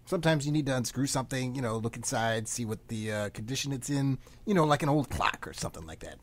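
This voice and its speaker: Shady little brother played by a woman: very low husky voice, lively swings, cocky and folksy radio drama delivery. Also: quick pace. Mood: defensive. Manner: glib, folksy, defensive. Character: cocky, defensive kid brother, shady antiques dealer. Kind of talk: live radio play acting.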